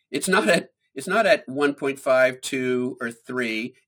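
A man speaking as a scientist guest in an interview, explaining in a measured way and stressing key points. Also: medium pitch, animated, a bit bright.

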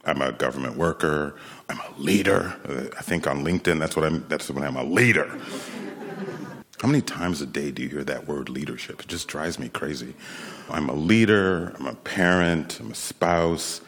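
An American man in his late fifties telling a personal story to an audience in a reflective, conversational way, with pauses for emphasis. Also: rumbling low. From Rochester, New York, United States. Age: late 50s, nearly sixty.

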